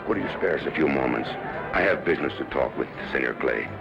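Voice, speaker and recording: Guy playing a rich proud spanish rancher, real low voice, formal talk, music behind him. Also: expressive, quick pace, vocal fry, highs cut off, heavily acted. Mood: grave, formal. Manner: formal, grandiloquent. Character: wealthy Spanish ranch owner, courtly, proud husband. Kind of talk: western radio drama acting.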